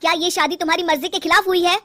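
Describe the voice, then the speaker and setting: A woman voicing a gentle young husband who coaxes and questions his wife. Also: very high voice, fairly even intonation, rapid-fire, voice pushed up. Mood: earnest. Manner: coaxing, earnest.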